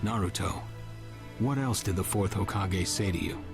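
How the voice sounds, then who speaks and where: Game role: level-headed adult ninja mentor, deep register, relaxed measured delivery, music bed. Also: male voice. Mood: reassuring. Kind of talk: English video game dub acting. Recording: music under the dialogue.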